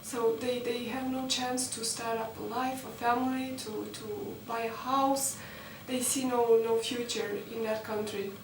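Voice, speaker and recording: A woman's voice: on the high side, steady tone, normal speed, few pauses. A young woman from Romania, a student in her twenties, giving interview answers in an earnest, hesitant way with many fillers. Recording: roomy, distant mic, background noise.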